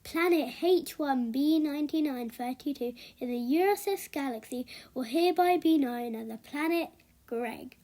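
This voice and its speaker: A woman reading a children's book aloud as an expressive storyteller. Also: high voice, normal speed.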